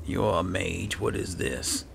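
Man, very low pitch, heavy vocal fry, unhurried muttering, in-character game commentary. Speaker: gamer playing in character. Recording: clearly noisy, game ambience behind.

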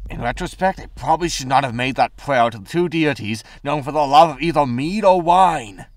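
A man's voice: a little high, brisk, dry recording, lightly acted. A man playing a harried, wry spaceship captain with a rambling manner in a science fiction comedy audio drama. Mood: wry, uneasy.

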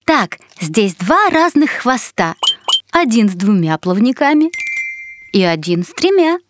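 A woman's voice: a little high, big pitch swings, unhurried. A woman voicing a bubbly, curious little fox hero in a children's cartoon. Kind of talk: children's cartoon narration and dialogue. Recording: music behind the voice.

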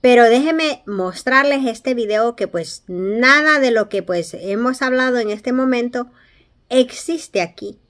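A woman in her forties giving slow, earnest commentary to camera from a small room, pausing often with fillers, recorded on a phone at arm's length. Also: a little high, lively intonation, fairly bright tone. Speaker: vlogger commenting on a news clip.

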